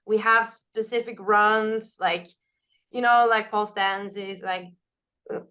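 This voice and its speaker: Raspy woman talking candidly. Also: mid-range voice, fairly even intonation, slow pace, sharp tone. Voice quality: naturally raspy voice. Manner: loose, candid, many "like" and "you know" fillers. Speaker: band singer. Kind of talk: casual interview answers.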